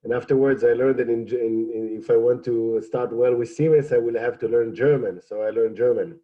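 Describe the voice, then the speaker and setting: Hebrew-speaking guy on a panel, slow and halting, lots of uhs, dull lo-fi audio. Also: medium pitch, fairly even intonation. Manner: measured, hesitant with fillers. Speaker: program alumnus panelist. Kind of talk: panel discussion.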